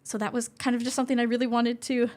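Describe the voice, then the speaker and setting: A woman's voice: a little high, little pitch movement, fast, a little mellow. Young woman, earnest explainer. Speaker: interview guest, communication support specialist. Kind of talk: explanatory interview talk.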